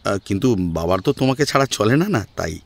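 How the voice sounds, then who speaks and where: A man's voice: lowish voice, lively intonation, a little fast, creaky, dry recording. Man acting a mild, dutiful son. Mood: deferential. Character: mild, dutiful adult son, careful with his mother.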